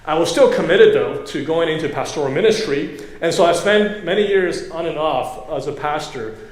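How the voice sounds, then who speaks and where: A man from Taiwan giving a conversational sermon as a guest preacher, pausing often with fillers. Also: fairly high pitch, lively intonation. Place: church sanctuary. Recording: echoey church room, faint ambience. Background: congregation.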